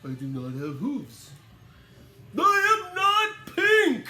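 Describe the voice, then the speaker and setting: An anxious storybook elephant, acted out by a man, pleading in short, deliberate phrases. The high male voice is bright and strained, with wide pitch swings, in an echoey room. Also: wildly swooping pitch, exaggerated voice. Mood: anguished. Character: sad, earnest male elephant, anxious and self-doubting. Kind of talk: children's picture book read-aloud.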